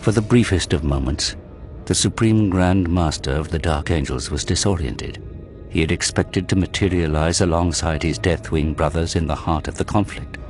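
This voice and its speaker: A man narrating a scripted audio drama in a grave, measured storytelling manner. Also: sits low. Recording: loud cinematic music behind the voice.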